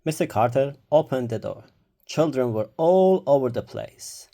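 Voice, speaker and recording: A storyteller reading a children's storybook aloud with deliberate, expressive phrasing. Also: mid-range voice, creaky.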